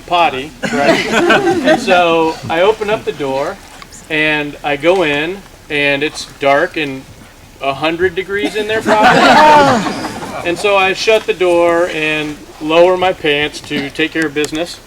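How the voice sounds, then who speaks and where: A middle-aged man telling an informal comic story to a group, acting out the dialogue in an animated way. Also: fairly high pitch, slow pace. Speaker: campfire storyteller. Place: outdoors among a gathered crowd. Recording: noisy outdoor recording with audience chatter. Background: audience sounds, outdoor ambience.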